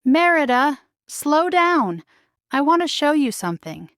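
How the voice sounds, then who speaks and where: A woman voicing a refined, caring queen and mother in a children's storybook, proper, measured and delicately formal. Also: high voice, very animated, fairly bright tone, no room sound, clean studio sound, pitched above the performer's own voice, exaggerated voice. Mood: calling out. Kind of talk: children's storybook character reading.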